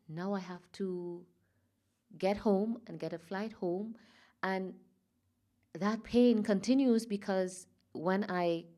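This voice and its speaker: A woman from Trinidad and Tobago, a guest in an interview, talking softly and reflectively with many pauses and fillers. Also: medium pitch. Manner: soft, reflective, halting with fillers. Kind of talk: personal interview.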